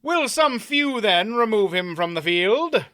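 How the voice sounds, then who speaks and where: A man voicing a confident, courtly knight who speaks in formal archaic phrasing, loud and ringing. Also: high-pitched, sing-song, measured pace, dry recording, clean studio sound, voice pushed up, heavily acted. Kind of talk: audiobook character voice.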